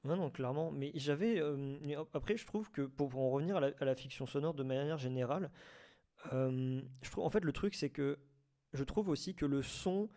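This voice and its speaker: A man with a rather high yet very mellow, soft-edged voice talks in an informal discussion. His speech is colloquial, and he circles back over words as he looks for the right phrase. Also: a little fast. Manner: colloquial, repeats words while searching for phrasing. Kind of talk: informal debate, casual discussion.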